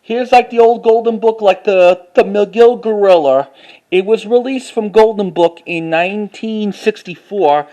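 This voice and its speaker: A man narrating a casual, unscripted show-and-tell as a collector, haltingly, repeating phrases and reading titles aloud. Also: high-pitched, a little mellow. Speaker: collector showing finds to camera.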